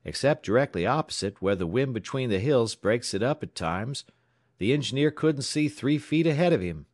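A man's voice: medium pitch, animated, normal speed, no room sound, clean studio sound, pitched above the performer's own voice, lightly acted. A man voicing a shaken railway chief dispatcher, grave and deferential, in an audiobook story. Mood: grim, anxious. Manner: strained, deferential.

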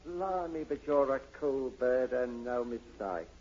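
A man's voice: mid-range voice, animated, unhurried, darkish tone. A man acting a sly, cowardly Cockney hanger-on in a 1940s radio drama, taunting and slangy. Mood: sardonic. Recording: muffled, lo-fi 1940s radio recording.

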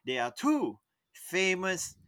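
A young man tells a story on a podcast in a bright, forward voice. The sound is close and dry, with no room around it. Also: high voice, wildly swooping pitch, takes it slowly, no room sound. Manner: rambling, often stops and restarts mid-sentence. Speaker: podcast co-host. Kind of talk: casual storytelling chat.

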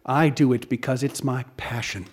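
An actor playing an eager author with a courteous, theatrical manner who relishes ghastly mysteries. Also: male voice, mid-range voice, fairly even intonation, moderate pace, husky, lightly acted. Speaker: narrator and lead character. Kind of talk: radio drama acting.

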